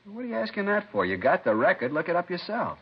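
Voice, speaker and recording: A man playing a sullen, evasive addict under police questioning in a 1950s radio drama, husky, slangy and defensive. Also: high-pitched, sing-song, quick pace, exaggerated voice. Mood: agitated, defensive. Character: defensive, evasive male drug-addict murder suspect, bitter and jittery. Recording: dull vintage radio recording.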